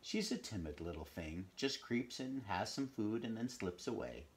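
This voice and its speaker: A man reading the part of a plump, pleasant, gentle woman who owns dogs, in a conversational storybook manner. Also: fairly low pitch, expressive, moderate pace, close to an everyday voice. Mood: gentle, musing.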